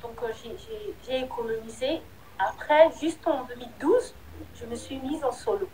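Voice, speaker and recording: A woman's voice: fairly high pitch, normal speed, a little mellow, poor audio. A woman, a singer answering interview questions in a candid, hesitant way that often trails off. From Republic of the Congo. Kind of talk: casual interview.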